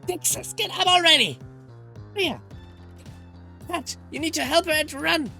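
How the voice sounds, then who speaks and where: A woman voicing an urgent, bossy adventurer in a visual novel, shouting frantic commands. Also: high voice, animated, a little slow, creaky, voice pushed up, heavily acted. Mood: frantic, commanding. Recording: music behind the voice.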